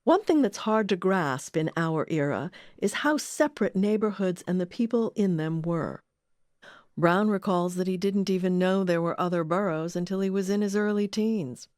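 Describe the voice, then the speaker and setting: A woman's voice: on the low side, moderate pace, darkish tone, no echo, clean studio sound. A woman reading scripted narration as the host of an audio documentary.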